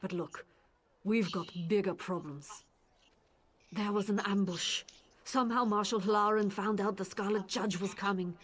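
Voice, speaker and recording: A hushed, wary guard captain. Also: male voice, high-pitched, steady tone, moderate pace. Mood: hushed urgent. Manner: hushed, conspiratorial, urgent. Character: guarded middle-aged male town guard captain. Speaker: game character. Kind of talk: studio video game voice acting.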